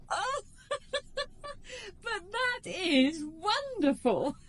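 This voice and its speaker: An actress playing an honest, spirited young woman in a radio drama, breathy and unhurried. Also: very high-pitched, heavily acted. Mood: delighted. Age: young adult. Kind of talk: radio drama acting, partly narrating.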